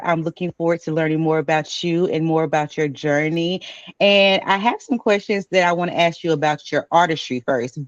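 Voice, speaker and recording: A woman's voice: deep voice, no room sound. A woman hosting an interview in a conversational style over a remote video call with dull audio. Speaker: interview host.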